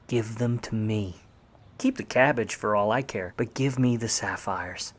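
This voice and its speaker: A man's voice: fairly low pitch, measured pace. A man acting a wry, grudging 1950s Hollywood private eye in a noir radio play, with slangy, sardonic, clipped tough-guy delivery. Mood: sardonic, insistent.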